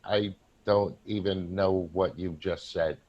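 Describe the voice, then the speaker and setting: A man's voice: low-pitched, steady tone, slow pace, dull audio. A man playing a flippant, teasing, self-satisfied drinking buddy in a staged play reading. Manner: wry, teasing.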